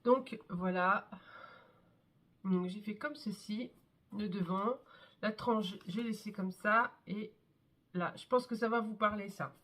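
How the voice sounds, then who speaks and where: A woman with a cold showing her scrapbook albums in a casual, chatty way, with many fillers and pauses. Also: mid-range voice, a bit bright. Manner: chatty, hesitant, many fillers and "hop, voilà". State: has a cold, congested. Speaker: scrapbooking vlogger. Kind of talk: casual show-and-tell craft video.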